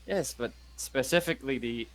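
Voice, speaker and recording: Man, playful tabletop player, average pitch, casual chat. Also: moderate pace, a little hiss or hum.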